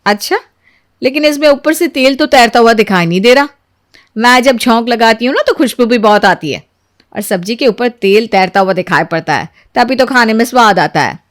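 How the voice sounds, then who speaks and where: A woman's voice: a little high, lively intonation, moderate pace, close to an everyday voice. A woman storyteller voicing a jealous, argumentative young housewife who complains and mocks her in-law. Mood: scolding, boastful. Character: jealous, sarcastic elder daughter-in-law, young adult housewife.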